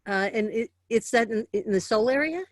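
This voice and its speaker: A woman's voice: fairly high pitch, animated, moderate pace. A woman professor chatting with a student, giving short agreeing replies. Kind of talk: casual conversation.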